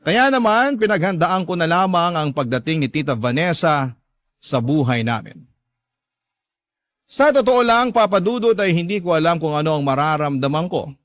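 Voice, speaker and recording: A man's voice: on the high side, lively intonation, normal speed, a bit bright, no echo, clean studio sound. A man with a Filipino accent narrating a listener's letter in the first person, as a dramatic radio storyteller.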